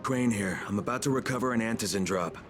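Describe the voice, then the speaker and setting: A man's voice: on the low side, fast talker, over music, close to an everyday voice. A man playing a tough, earnest undercover operative in a video game, talking bluntly and with urgency. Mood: businesslike. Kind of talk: dramatic game cutscene acting.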